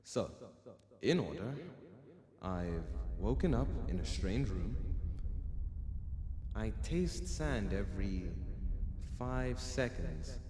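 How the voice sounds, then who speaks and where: Hapless, bewildered lost soul, played by a male actor, slow and wildly expressive, wry self-talk, for audio drama. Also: on the low side, crowd noise. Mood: wry, uneasy. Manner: muttering to himself, wry, exasperated. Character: hapless, confused young man waking lost in a desert. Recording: roomy, reverberant, with clear noise.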